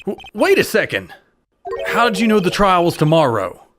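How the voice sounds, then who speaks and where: Man playing an animated, wry young lawyer. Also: high voice, normal speed, soft background music, full character voice. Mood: indignant, pleading. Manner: hesitant, with dry muttered asides. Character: earnest young male defense lawyer, wry and easily exasperated. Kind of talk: fan-dub video game voice acting.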